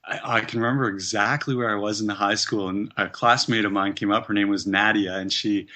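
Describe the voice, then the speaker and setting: A man's voice: on the low side, steady tone, a little fast, pushed and bright. A Canadian man talking as a guest on an interview podcast, upbeat and chatty, laughing easily. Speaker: podcast guest, personal trainer. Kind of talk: casual interview conversation.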